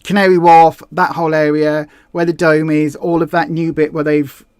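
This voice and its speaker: A woman's voice: sits low, flat delivery, normal speed, a little mellow, smooth, no room sound, studio quality. Rambling Southeast English woman host. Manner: rambling, self-correcting, many fillers. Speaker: livestream host. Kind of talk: casual livestream chat, reading viewer comments.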